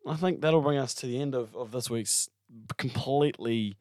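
A man's voice: medium pitch, normal speed, a little mellow, no echo, clean studio sound. A young man co-hosting a podcast, joking, swearing freely and laughing between lines. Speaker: podcast co-host. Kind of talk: casual banter.

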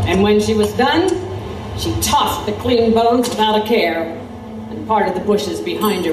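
A woman's voice: fairly low pitch, background noise, natural voice. Echoey Victorian woman reporter played by a woman recounting horrors. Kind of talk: dramatic scripted storytelling. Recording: echoey, distant, music underneath.

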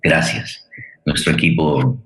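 A man hosting a radio theatre forum, speaking haltingly as he searches for words while presenting and giving thanks. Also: extremely low pitch, steady tone, a bit bright. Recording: roomy, echoey sound.

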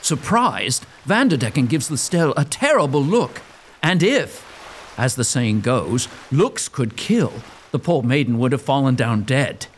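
A man's voice: medium pitch, sing-song, a little slow, slight background noise. A man narrating a gothic audio drama as a scripted story, in a theatrical, suspenseful manner.

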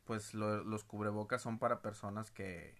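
A man's voice: lowish voice, steady tone, moderate pace. A man, co-hosting a casual podcast chat in an easygoing way. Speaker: podcast co-host.

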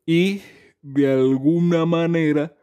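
A man's voice: a little high, steady tone, takes it slowly, dark tone, clean tone. A man, talking casually and at length about music, rambling with many restarts and fillers. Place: home during a power cut.

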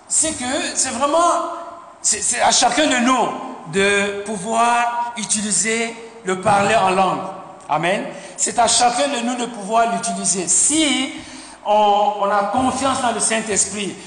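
A high-pitched man preaching in a church sanctuary, halting, repeating words and punctuating his points with amen. His voice rings with a long reverb through the hall, over a faint hiss. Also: fairly bright tone. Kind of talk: sermon. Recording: echoey hall with faint noise.

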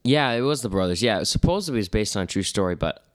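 A man's voice: lowish voice, animated, fast. A man in his late teens with a Midwestern American accent, chatting loosely as a podcast co-host and letting thoughts trail off. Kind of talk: casual conversation.

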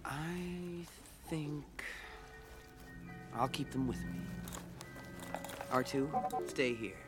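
A man's voice: medium pitch, very slow, loud music behind the voice. An actor playing an earnest, uneasy young male space hero in a radio drama, with a hesitant, searching delivery. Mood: casual, resigned.

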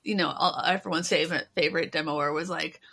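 A woman chatting casually and informally, with animated, mocking asides. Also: sits low, fast talker, vocal fry, husky. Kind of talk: casual chat.

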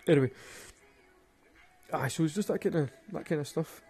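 A man's voice: fairly high pitch, normal speed, creaky, mellow, breathy edge, soft background music. A man with a Glaswegian Scottish accent, rambling and swearing through casual stories and laughing at his own jokes. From Glasgow, Scotland. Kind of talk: casual storytelling monologue.

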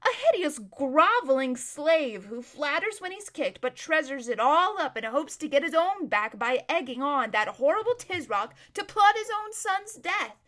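A brave young noble girl on the run, acted by a woman reading aloud. Her voice is high and urgent. She is sharp-tongued and impatient, speaking in firm, scolding bursts. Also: big pitch swings, a little slow, hardly any pauses, exaggerated voice. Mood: scornful.

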